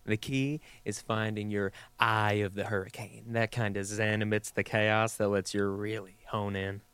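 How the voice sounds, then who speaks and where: A man's voice: fairly low pitch, little pitch movement, moderate pace, a bit bright, clean tone, no room sound. A man playing a cocky, teasing seasoned scientist in an audio drama, talking in a casual, slangy way. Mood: teasing, relaxed.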